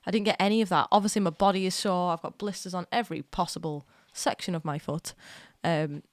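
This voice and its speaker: A young woman streaming from home, chatting in a rambling, excitable way while getting over a cold with a slight cough. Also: on the low side, brisk, dry recording. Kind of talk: casual live-stream chat.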